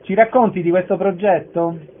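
A man's voice: sits high, normal speed, noisy background. A man hosting a radio interview, giving show details and putting questions to guests. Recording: thin, band-limited phone-like sound.

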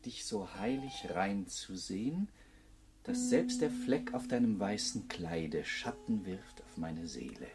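A man's voice: on the low side, expressive, slow. An actor playing a brooding, lovesick and awkward man in a radio play, hesitant and poetic. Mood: tender, hesitant. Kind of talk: German radio play acting. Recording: guitar music behind the voice.

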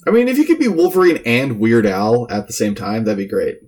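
A man's voice: medium pitch, wildly swooping pitch, a little fast, a little mellow. A man co-hosting a podcast with casual banter and short, wry interjections. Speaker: podcast co-host.

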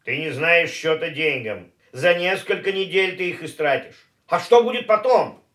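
An actor playing an elderly, thrifty, disapproving uncle in a radio play, reproachful and halting. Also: male voice, on the high side, expressive, fairly bright tone, echoey room. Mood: fretful, reproachful. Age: older.

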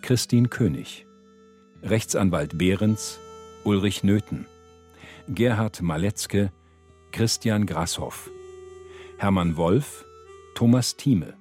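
A man's voice: lowish voice, lively intonation, slow pace, long pauses, darkish tone. A man reading scripted radio drama credits as an announcer. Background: guitar music.

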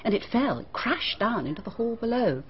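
An actress with a British accent playing a prim, nervous schoolteacher being questioned in a radio drama, answering in a clipped, guarded way. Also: female voice, fairly high pitch, very animated, normal speed, slight background noise. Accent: British English. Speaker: teacher questioned by a detective. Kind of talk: BBC radio drama acting. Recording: dull, muffled archive radio broadcast.

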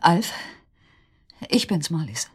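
An actress in a German radio drama playing an anguished, desperate wife and mother, speaking haltingly and intensely, dropping into whispers. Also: sits low, sing-song, husky, dry recording, clean studio sound, heavily acted. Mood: tense, accusing.

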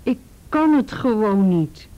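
A woman playing an ashamed, abused teenage girl in a radio drama, halting and repeating herself, often sinking to a breathy whisper. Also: fairly high pitch, lively intonation, dull audio. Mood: distressed, pleading. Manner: halting, repeats words, tag questions. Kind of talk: naturalistic radio drama acting.

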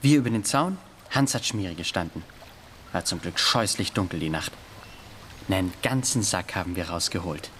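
An actor playing a timid, well-bred schoolboy who boasts eagerly and nervously in a radio play. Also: male voice, low voice, lively intonation, moderate pace, fairly bright tone, slight background noise. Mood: eager boasting.

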